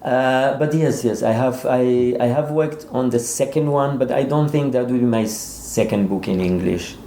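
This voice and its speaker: A man being interviewed as an author, speaking haltingly and repeating words as he searches for phrasing. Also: mid-range voice, dark tone. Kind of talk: interview conversation. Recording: roomy echo, faint background noise.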